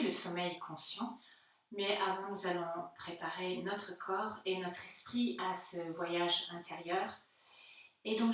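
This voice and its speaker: Slow-speaking woman guiding meditation, very echoey room. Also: medium pitch. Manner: soothing, gentle guidance with pauses. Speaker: yoga teacher. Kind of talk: guided yoga nidra meditation instruction. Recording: very echoey room, distant mic.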